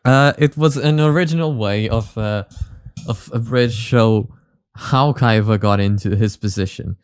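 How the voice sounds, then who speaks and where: A man's voice: fairly low pitch, unhurried, smooth, no room sound. A man hosting a reaction video with casual analytical commentary, often repeating words as he explains.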